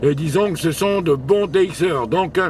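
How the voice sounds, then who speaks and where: A man with a slightly high voice talks as an amateur radio operator in a casual exchange. He speaks haltingly, often repeating his words. A faint layer of noise sits around the voice. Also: darkish tone. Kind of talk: casual radio contact chat.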